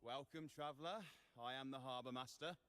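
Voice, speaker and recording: An actor playing a courteous, cautious harbour master in a video game, speaking in a formal, concerned way. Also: male voice, mid-range voice, brisk, fairly bright tone, a little hiss or hum, lightly acted. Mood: formal welcome.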